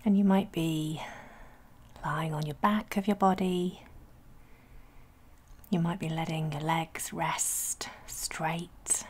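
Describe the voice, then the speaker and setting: A woman leading a guided sleep meditation in a breathy, whispery soft voice, soothing and gentle, with long pauses between phrases. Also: low-pitched, steady tone. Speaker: guided relaxation instructor.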